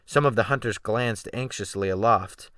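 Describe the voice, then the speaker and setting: A man's voice: fairly low pitch, normal speed, fairly bright tone, no echo. A man giving a dramatic, theatrical reading of literary narration, with strong swells of emphasis, close to the microphone. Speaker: audiobook narrator. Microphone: close USB microphone.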